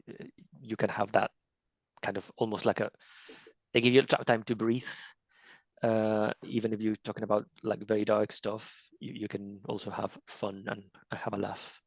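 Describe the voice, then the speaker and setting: A man's voice: fairly low pitch, gravelly, dry recording. A man, an author, chatting casually in an interview and telling anecdotes with frequent restarts and laughs.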